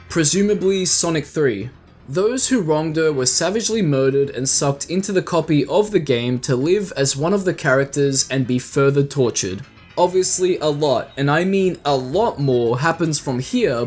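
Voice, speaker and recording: A man hosts a scripted video essay and reads it in a conversational way, tossing in playful asides. His voice sits a little high for a man. Faint music plays underneath. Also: darkish tone. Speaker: YouTube host.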